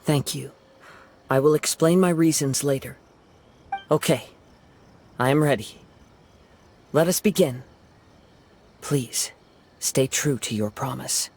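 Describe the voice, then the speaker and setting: An actor voicing a calm, gentle young wandering samurai in a game cutscene, speaking in a soft, measured way. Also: male voice, mid-range voice, a little hiss or hum. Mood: resolved. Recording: game audio with clear music behind.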